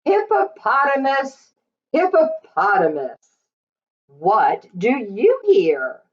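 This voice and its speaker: A woman reading a picture book aloud to young children, in a sing-song, drawn-out way with questions to her listeners. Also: high-pitched, wildly swooping pitch, muffled recording.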